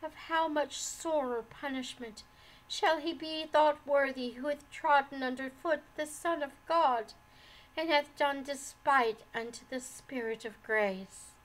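A woman's voice: high-pitched, takes it slowly, few pauses, dark tone, voice pushed up, low-quality recording. A woman voicing a heartbroken, despairing wife and mother in a storybook, with a trembling, pleading, sobbing delivery. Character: heartbroken, despairing, unhappily married young mother.

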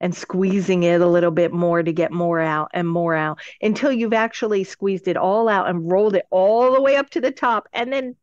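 A woman's voice: fairly low pitch, normal speed, hardly any pauses, no echo. A woman speaking as a podcast guest and business adviser, explaining conversationally and emphatically, step by step. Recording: dull lo-fi audio.

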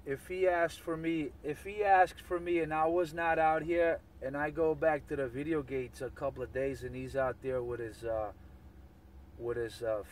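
A man chatting casually over CB radio, often repeating himself. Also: medium pitch. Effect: CB radio transmission. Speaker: CB radio operator. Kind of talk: casual chat with repetitions. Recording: distant CB radio with light noise.

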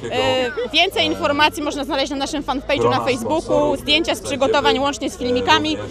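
A woman's voice: high voice, fairly even intonation, a little fast. A young woman leading a restaurant team, interviewed on a handheld mic on a crowded town square, chatty with fillers. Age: young adult. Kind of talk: street interview. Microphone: handheld reporter mic. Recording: outdoor street recording, loud music and crowd.

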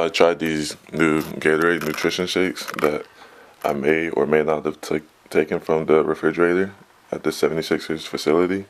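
Deep-voiced young man vlogging laid-back. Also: a little hiss or hum. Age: young adult. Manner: laid-back, filler-heavy talk. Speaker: college basketball player vlogging. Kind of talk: casual vlog narration. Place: hotel room. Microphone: phone camera at arm's length.

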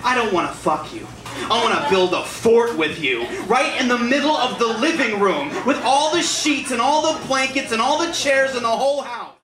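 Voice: mid-range voice, expressive, moderate pace. A poet reciting slam poetry live. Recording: echoey, distant mic, crowd behind.

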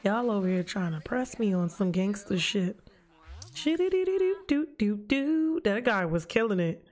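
A young woman livestreaming a life-sim game, chatting casually with her viewers in a lively, joking, profane way on a headset mic. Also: medium pitch, normal speed. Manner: lively, joking, talks back to chat, profane slang. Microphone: headset mic at desk. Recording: game music playing behind.

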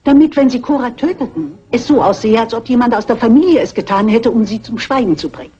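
An actress playing a shrewd, composed elderly spinster detective, deliberate and dryly polite, in a dubbed crime film. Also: female voice, on the high side, expressive, dark tone, lightly acted. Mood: accusing, composed. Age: older. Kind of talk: 1960s crime film dub. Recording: muffled old film soundtrack with music.

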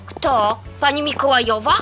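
A woman's voice: sits high, moderate pace, music in the background, close to an everyday voice. A woman voicing a chatty, eager, childlike boy elf in a children's audio drama. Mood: chatty, reassuring. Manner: childlike, excitable.

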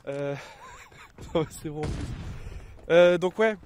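A man's voice: a little high, takes it slowly, a crowd in the background. A man in his thirties with a cold, chatting casually and rambling over his gameplay at home. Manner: rambling, many fillers and restarts. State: has a cold. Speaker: gaming YouTuber. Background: game gunfire and effects.

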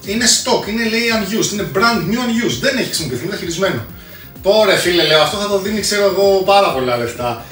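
A man's voice: high-pitched, animated, fairly bright tone. A man, presenting casually as a video host, chatty and slangy with bits of English collector jargon. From Greece. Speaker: host of a retro console collecting channel. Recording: echoey room, distant mic, music behind.

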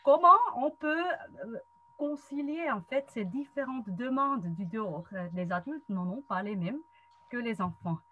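A woman's voice: on the high side, expressive, unhurried, pushed and bright, dull audio. Swiss German woman lecturing over a video call. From German-speaking Switzerland. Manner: friendly, explanatory, frequent hesitations. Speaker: guest speaker, psychologist and trainer. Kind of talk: online lecture presentation. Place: wood-panelled room at home. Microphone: webcam video call.

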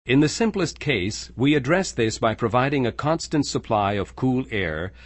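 Man, scripted training voice-over, measured explanatory delivery, lively pitch movement, close studio mic. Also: dry recording. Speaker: narrator of a training video. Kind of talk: scripted corporate training narration.

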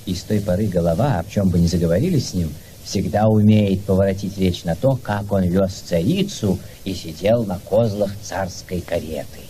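Mellow, low-voiced male storyteller. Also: moderate pace, few pauses, a little hiss or hum. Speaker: narrator. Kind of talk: scripted storytelling narration in a radio play.

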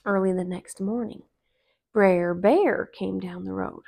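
A woman in her thirties reading a children's story aloud in an expressive, deliberate way. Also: medium pitch, vocal fry, slight background noise. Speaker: storybook reader. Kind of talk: children's read-aloud narration. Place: small living room, indoors on a couch.

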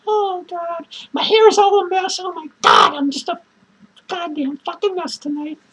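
A woman playing an unhinged, drunk male clown who rambles crudely, cackles manically and lurches between shouts and mutters. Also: extremely high pitch, fairly even intonation, slow pace, long pauses, full character voice, low-quality recording. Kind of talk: improvised character monologue to viewers.

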